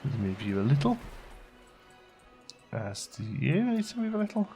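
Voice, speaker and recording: A man giving casual, low-key gameplay commentary as a video host, thinking aloud and muttering to himself as he works. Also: big pitch swings, moderate pace, dark tone, soft background music. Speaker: let's play video host.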